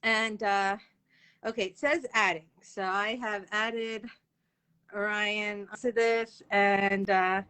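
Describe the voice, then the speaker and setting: A woman's voice: medium pitch, steady tone, takes it slowly, sharp tone. A woman hosting an author interview livestream, chatting casually and informally with viewers as she goes.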